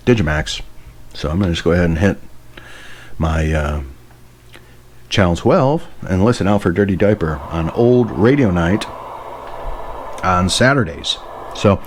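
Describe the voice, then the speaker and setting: A man's voice: lowish voice, a little hiss or hum. An American man giving a casual hands-on gadget demonstration in an informal, enthusiastic, rambling way. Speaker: radio hobbyist presenter.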